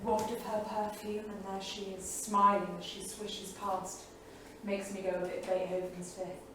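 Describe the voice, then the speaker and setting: An actress playing a spirited, romantic 1930s wife in a dramatic staged reading. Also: female voice, medium pitch, completely flat delivery, a little slow, natural voice. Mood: smitten. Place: small theatre studio. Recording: echoey theatre, distant mic, faint noise.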